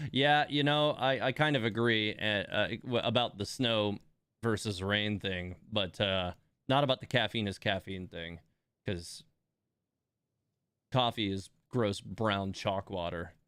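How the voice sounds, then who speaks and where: A man hosting a game livestream, reacting casually in a rambling, hesitant way with drawn-out emphasis. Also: fairly low pitch, fairly bright tone, smooth, dry recording. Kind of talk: casual live commentary reacting to game voice lines.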